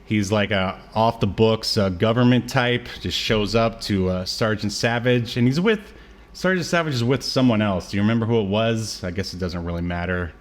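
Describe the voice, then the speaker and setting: A man speaking as a podcast co-host in casual conversation, with a halting, meandering delivery. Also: fairly low pitch. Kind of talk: casual chat recapping a show.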